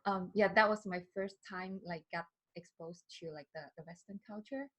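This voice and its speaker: Hesitant woman from southern China, husky. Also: medium pitch, steady tone, moderate pace, fairly bright tone. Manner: hesitant, many fillers and restarts. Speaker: skincare brand founder, guest. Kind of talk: casual interview.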